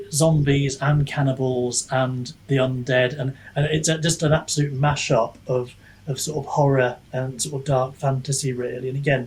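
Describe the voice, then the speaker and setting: A man with a husky, slightly rough voice at a medium pitch talks as a guest in a casual discussion. He is reflective and often hedges, restarting his thoughts as he works them out aloud. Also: darkish tone, rough recording. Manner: reflective, hesitant, hedging, thinking aloud. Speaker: podcast guest, author and book reviewer.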